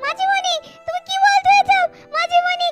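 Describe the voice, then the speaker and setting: Casting: imploring cartoon girl, devoted and distraught, extremely high squeaky voice, music behind. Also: flat delivery, moderate pace, a bit bright. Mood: desperate, pleading. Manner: repetitive, imploring. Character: devoted elder girl cousin, distraught and pleading. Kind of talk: melodramatic doll-story cartoon acting.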